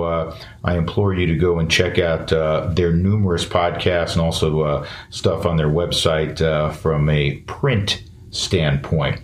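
A middle-aged man hosting a podcast, talking casually with frequent "uh" fillers as he reads ads and chats. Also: sits low. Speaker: podcast host. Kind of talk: casual podcast talk and host-read ads.

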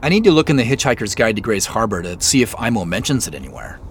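An actor playing an inquisitive, slightly sheepish amateur sleuth in a naturalistic audio drama, talking in an easygoing, conversational way. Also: male voice, lowish voice, very animated, quick pace. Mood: quietly excited. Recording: faint vehicle noise behind.

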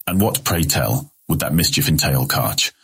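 A man acts out a ruthless guild leader in a fantasy audiobook, cold and livid. His voice is extremely low, creaky and rough, and he hisses clipped, sarcastic words full of scorn. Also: normal speed, a bit bright, full character voice. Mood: scornful anger. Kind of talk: fantasy audiobook character acting.

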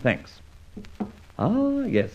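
A suave gentleman adventurer who narrates his own exploits, his voice soaring and dipping dramatically. It has a husky edge and comes through a dull, lo-fi old radio recording. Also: high voice, wildly swooping pitch, very slow, exaggerated voice. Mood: commanding. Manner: confident, mocking, commanding. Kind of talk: 1940s radio acting.

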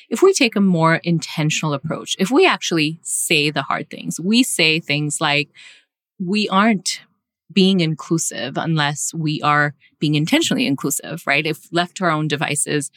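A woman's voice: low-pitched, unhurried, fairly bright tone, no echo, studio quality. A woman from Singapore, a guest author on a podcast interview, speaking with passionate emphasis and frequent restarts.

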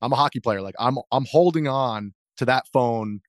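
A man's voice: medium pitch, moderate pace, dry recording, studio quality. An American man being interviewed, telling anecdotes informally, interrupting himself and acting out what people said. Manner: informal, self-interrupting storytelling with acted-out quotes. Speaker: interview guest, video journalist. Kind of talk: casual anecdotal interview talk.

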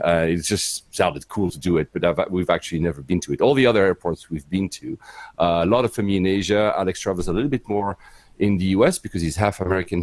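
A man's voice: low-pitched, darkish tone. A middle-aged man from Switzerland whose first language is French, chatting casually as a podcast guest with many fillers and restarts. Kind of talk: casual chat on a live stream.

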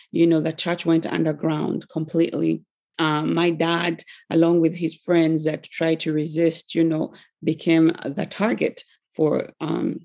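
A woman from Uganda telling her personal story as a podcast guest, measured and with fillers. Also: sits low, vocal fry, dark tone, husky. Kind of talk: personal testimony.

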